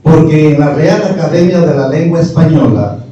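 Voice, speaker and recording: Man, middle-aged, didactic panel lecturer, somewhat high, mellow voice, distant mic in a very echoey hall. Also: normal speed. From Peru. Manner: didactic, argues point by point with rhetorical questions. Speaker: speaker at a history panel. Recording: distant, echoey, muffled room recording.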